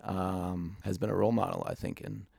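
A man's voice: deep voice, little pitch movement, moderate pace, vocal fry, darkish tone, slightly rough, dry recording, clean studio sound. A man who hosts a music podcast and speaks with a stutter, chatting casually with a guest. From Colorado. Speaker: podcast host and music writer. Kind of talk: casual interview chat.

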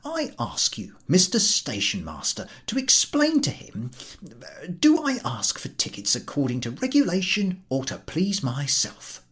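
An over-zealous railway ticket collector played by a male storyteller, pitched high with lively swings. He is officious, aggrieved and easily flustered, whining his way through a storybook tale. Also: unhurried, heavily acted. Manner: whining, officious, stammering when cornered. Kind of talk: dramatised storybook reading.

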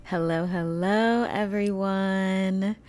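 A woman with a hoarse, froggy voice giving a casual motivational podcast talk as host and coach, informal and emphatic. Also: medium pitch, steady tone, slow, a little mellow, faint music behind. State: hoarse from allergies.

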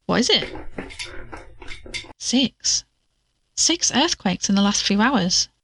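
A woman's voice: mid-range voice, animated, takes it slowly, fairly bright tone, breathy edge, no echo, exaggerated voice. An actress playing a guarded, uneasy woman troubled by bad dreams in an audio drama, reticent and questioning.

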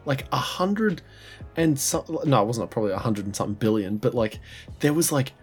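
A man with a head cold talks loosely on a podcast, his nose blocked and throat sore. He stumbles through restarts and swears casually, with a mid-pitched voice and faint music underneath. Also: lively intonation, a little fast, slightly rough. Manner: rambling, laughing, swearing, many restarts. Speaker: podcast co-host. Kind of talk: casual chat.

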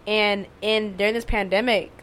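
A young woman with a fairly monotone voice, talking candidly and casually, swearing and using lots of fillers. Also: mid-range voice, moderate pace. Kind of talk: casual conversation.

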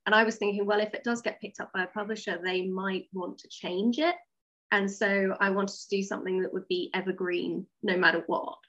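A woman speaks as a guest author in a relaxed interview, telling stories conversationally. Her voice sits at an average pitch with a husky edge. The recording is dull and lo-fi. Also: a little fast. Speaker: author and audio drama writer, interview guest. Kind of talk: casual, anecdotal interview chat.

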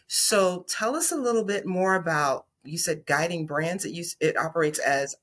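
A woman's voice: fairly low pitch, normal speed, a bit bright. A woman hosting a podcast interview, chatting casually and encouraging her guest with frequent affirmations. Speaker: podcast host.

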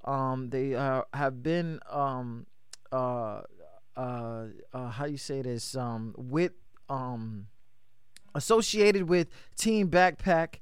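A man hosting a casual hip-hop radio interview, with a high voice and a loose, hyped manner full of shout-outs. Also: slow pace, clean tone, dry recording, studio quality. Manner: loose, hype, lots of shout-outs and fillers. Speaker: radio show host.

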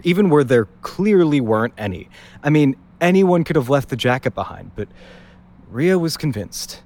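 A man's voice: lowish voice, a little mellow. An actor playing a wistful young man recounting a past road trip in a hesitant, conversational monologue for an audio drama. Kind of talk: audio drama narration, informal confiding monologue.

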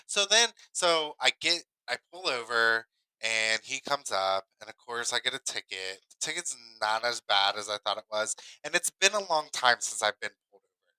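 A man with a very bright, forceful voice chats as a podcast co-host. His sound is clean, smooth and dry. He tells stories casually, repeating phrases for emphasis. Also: medium pitch, expressive, moderate pace, no echo, rough recording. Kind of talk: casual personal storytelling.

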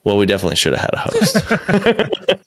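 A man's voice: high voice, wildly swooping pitch, normal speed, fairly bright tone, husky. A young man, a comedian and podcast co-host, telling a story in a loose, rambling way, full of "like" and restarts, with casual swearing. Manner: rambling, lots of "like" and restarts, profane.

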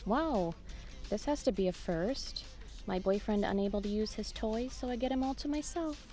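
Teasing, mid-pitched girlfriend, played by a woman. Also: normal speed, warm tone, soft background music, lightly acted. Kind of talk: naturalistic audio drama acting.

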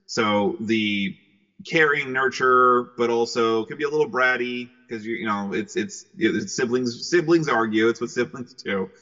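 Bright, cheerful man in muffled reverb. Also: medium pitch, unhurried. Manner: cheerful, excitable. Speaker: voice actor being interviewed. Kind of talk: casual interview answers. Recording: muffled, long hall-like reverb.

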